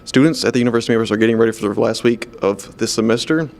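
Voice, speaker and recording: A young man works as a student news reporter. He delivers scripted local reports in a medium-pitched voice, presenting each piece as a correspondent would. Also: racing, creaky, darkish tone. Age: young adult.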